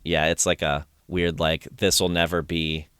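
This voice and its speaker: Deep-voiced man hosting a chat. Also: level tone, a little slow, fairly bright tone, clean tone, dry recording, clean studio sound. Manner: enthusiastic, rambling with restarts. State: voice strained from singing. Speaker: podcast host. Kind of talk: casual conversational recap.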